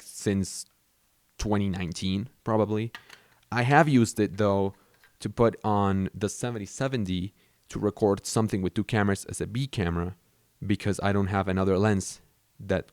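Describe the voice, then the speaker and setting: Low-voiced young man talking cameras. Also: dry recording, studio quality. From Mexico. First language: Spanish. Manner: rambling, relaxed, many fillers and restarts. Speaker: vlogger. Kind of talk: casual talk about his camera gear.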